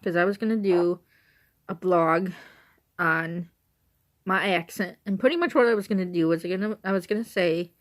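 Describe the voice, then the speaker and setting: A young woman with an Illinois accent and a hoarse voice and stuffy nose, chatting casually to a handheld camera at home and rambling as she repeats and restarts herself. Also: fairly low pitch, moderate pace. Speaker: vlogger. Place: at home on the couch.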